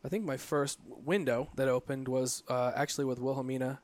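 A man in his late thirties talks over his career in a relaxed interview, reflective and a bit rambling, often pausing on fillers and starting over. His voice sits at an ordinary middle pitch and the recording is dry and close. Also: no echo. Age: 30s. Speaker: guest, photographer. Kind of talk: casual interview conversation.

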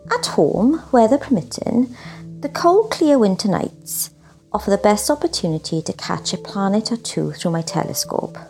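A woman's voice: mid-range voice, vocal fry, mellow. A woman presenting a literary showcase, introducing pieces and reading her own reflective writing in a scripted style.

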